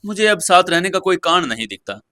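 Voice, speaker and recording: A man voicing a calm, self-assured young woman in an audio story, speaking softly but decisively and plainly. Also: high voice, fairly quick, voice pushed up, exaggerated voice. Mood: firm, serious. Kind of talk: Hindi audio story dialogue.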